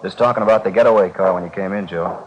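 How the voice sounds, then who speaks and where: Actor as calm, low-voiced male police detective. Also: fast talker, close to an everyday voice. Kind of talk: 1950s radio police drama acting. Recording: dull, faintly noisy 1950s radio recording.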